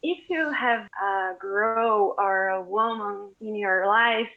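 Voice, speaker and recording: Woman author answering carefully, real slow, sounds like shes on a phone line. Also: mid-range voice. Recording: tinny phone-like call line.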